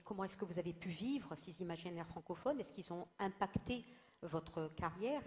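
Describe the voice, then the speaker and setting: A woman's voice: mid-range voice, darkish tone, big-room sound. A woman moderating a discussion panel, articulate, asking long, carefully built questions.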